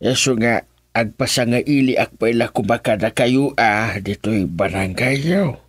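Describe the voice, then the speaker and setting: A man's voice: mid-range voice, measured pace, husky, no echo, studio quality. A man playing a polite, wistful old returnee in a radio drama, with an Ilocano accent and a hesitant, repeating delivery mixed with English.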